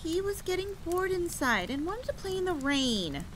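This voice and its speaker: A woman's voice: extremely high pitch, sing-song, normal speed, background noise, pitched above the performer's own voice. A woman voicing a stubborn, eager little sister in an audio drama, with a whiny, insistent manner. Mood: defensive, pleading. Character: stubborn, pleading little sister, eager young farm girl. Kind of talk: acted dialogue in audio drama. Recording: clear rain and thunder ambience behind voice. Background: rain and rolling thunder.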